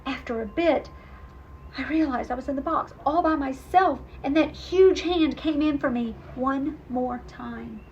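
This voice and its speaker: A woman voicing a gentle, wondering young female cat in an earnest storybook reading. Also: high-pitched, measured pace, dull audio, slight background noise. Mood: bewildered.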